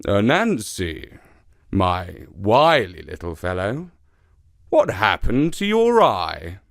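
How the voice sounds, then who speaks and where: A big, gullible storybook leopard, voiced by a man telling a folktale. He drawls his words very slowly and languidly, like one lounging at ease. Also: on the low side, huge pitch swings, creaky, no room sound, clean studio sound, voice pushed down, exaggerated voice. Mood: languid, admiring.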